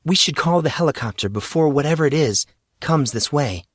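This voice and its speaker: A man's voice: a little high, expressive, a little fast, no echo, clean studio sound, voice pushed up. A man voicing a dutiful female attendant in an audiobook story, formal, anxious and insistent. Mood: urgent, formal.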